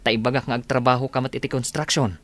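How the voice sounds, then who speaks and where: A man's voice: mid-range voice, steady tone, fast talker, fairly bright tone, dry recording, natural voice. A man playing an easygoing, respectful village workman in a radio comedy drama. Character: easygoing, deferential village workman, helpful and chuckling. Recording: faint vehicle noise behind.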